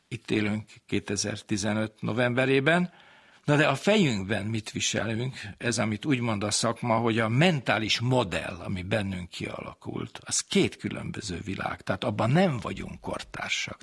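A man with a rough, creaky voice and a husky edge. He explains things in a measured, reflective way, as in a serious discussion. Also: fairly low pitch, a bit bright.

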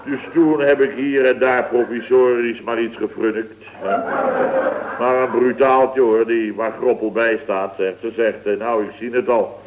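A man's voice: medium pitch, steady tone, unhurried, heavy background noise, lightly acted. A man plays a grumbling older storyteller in a comic radio play. He is indignant and long-winded, voicing both sides of each exchange. The sound is thin and narrow, like an old broadcast. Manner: indignant, rambling, acts out reported dialogue. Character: grumbling, put-upon older man telling his escapades.

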